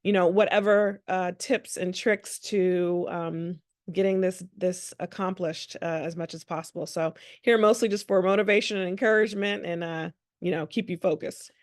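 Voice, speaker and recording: American woman, halting, close dry sound. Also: lowish voice, fairly even intonation, no room sound. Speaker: panelist, family physician. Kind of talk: casual panel introduction.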